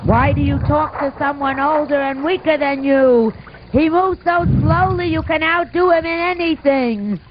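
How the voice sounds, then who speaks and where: A woman's voice: high voice, measured pace. A woman voicing a wild, blunt young tyrannosaurus in a puppet show, scornful and full of questions. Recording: muffled, noisy amateur puppet show recording.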